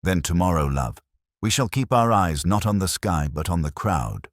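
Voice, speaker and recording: A man voicing a shrewd, softly spoken police inspector in a mystery story, deliberate and given to cryptic, philosophical remarks. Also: low-pitched, lively intonation, no echo, studio quality. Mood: knowing, calm. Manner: murmuring, deliberate, aphoristic.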